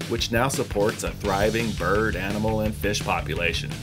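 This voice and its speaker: A middle-aged man hosting a fishing show, reading a smooth, upbeat scripted voice-over. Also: on the low side. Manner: smooth, upbeat presenter delivery. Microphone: studio voice-over. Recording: music bed under the voice.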